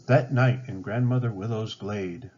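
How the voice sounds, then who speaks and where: A man with a low, husky voice narrates a children's storybook. His reading is expressive and dramatic, on a slightly dull recording. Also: a little slow. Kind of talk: storybook read-aloud narration. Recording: slightly dull, band-limited sound.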